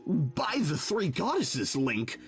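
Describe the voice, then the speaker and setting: Guy voicing nervous assistant man, fussy n polite, slow w big swoopy pitch, audio drama. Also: wildly swooping pitch, faint music behind, exaggerated voice. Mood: horrified. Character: nervous, fretful male lab assistant, easily horrified. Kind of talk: fan audio drama acting.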